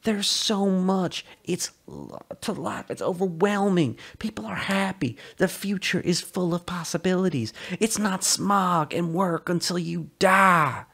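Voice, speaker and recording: A man's voice: high voice, a little slow, vocal fry, few pauses, fairly bright tone, voice pushed up, heavily acted. A man doing a desperate pleading fugitive. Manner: urgent, pleading, strained.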